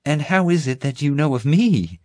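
A man voicing an elderly, genteel Southern lady with a slow, honeyed drawl. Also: fairly high pitch, lively intonation, a little mellow, dry recording, clean studio sound, voice pushed up, natural voice. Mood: gracious.

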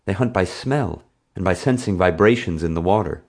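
A man's voice: sits low, animated, moderate pace, gravelly, exaggerated voice. A man voicing a smart, anxious schoolboy who explains things and gets evasive under pressure, in an audiobook story. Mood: explaining. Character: smart, anxious schoolboy, son of zoo scientist.

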